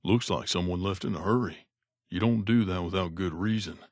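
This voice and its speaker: An actor playing a wry, gruff mercenary companion in a video game, speaking in a conversational, grumbling way. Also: male voice, low-pitched, normal speed, darkish tone, no echo, clean studio sound. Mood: wary grumbling. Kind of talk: game character voice lines.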